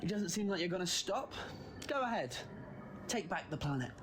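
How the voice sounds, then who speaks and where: A man's voice: high voice, animated, moderate pace, noisy background. A man with a British accent playing a wry, confident time traveler in a sci-fi audio drama, theatrical and flippant. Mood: grave, defiant. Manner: flippant, theatrical, swinging from playful to grave.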